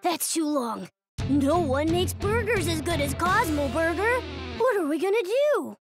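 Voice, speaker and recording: A woman voicing a small, excitable, hungry boy bear in a cartoon, childlike and emphatic. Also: very high-pitched, unhurried, over music, full character voice. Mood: impatient. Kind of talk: cartoon voice acting.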